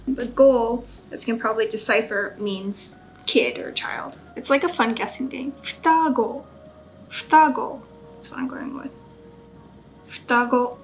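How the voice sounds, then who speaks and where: A woman chatting casually as a study vlogger, carefully sounding out vocabulary as she learns. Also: a little high. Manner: self-conscious, careful when pronouncing foreign words. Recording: background music under voice. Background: music.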